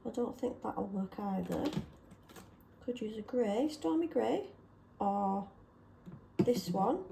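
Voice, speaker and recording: A woman chatting casually and self-deprecatingly while testing paint colours, slightly hoarse from a sore throat. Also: medium pitch, slow, mellow, roomy sound, a little hiss or hum. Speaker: craft vlogger.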